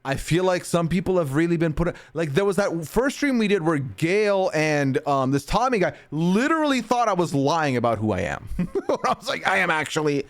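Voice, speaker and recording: Lively, chatty male streamer. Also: a little high, moderate pace. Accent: mixed, sometimes heard as British. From North America. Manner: chatty, rambling, laughs often. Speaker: streamer reading chat. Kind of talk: casual chat.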